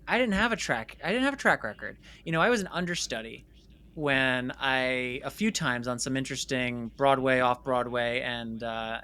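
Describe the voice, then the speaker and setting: A man's voice: mid-range voice, pushed and bright. A man speaking as an interview guest in a conversational storytelling style, emphatic and repeating phrases for stress. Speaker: interview guest, filmmaker and former stage actor.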